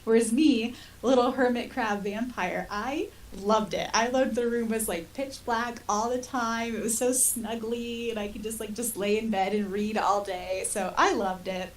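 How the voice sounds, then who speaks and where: A woman's voice: a little high, fairly even intonation, a little slow. A woman in her thirties chatting casually as a podcast host, telling personal stories in a colloquial, self-deprecating way. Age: 30s. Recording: distant mic with faint hiss.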